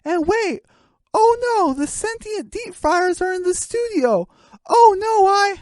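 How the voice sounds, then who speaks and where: Woman as chicken newsreader, female, high pitch, theatrical announcing, mock radio drama, dry close sound. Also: lively intonation, slow, darkish tone, no echo, heavily acted. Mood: panicked. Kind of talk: mock radio news broadcast.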